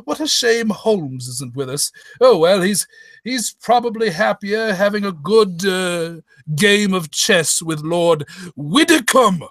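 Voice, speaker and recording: A man playing a bumbling, polite gentleman doctor who stammers and mutters exclamations in a hammy old-time radio parody. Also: high voice, animated, slow, no room sound, exaggerated voice. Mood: polite, jolly.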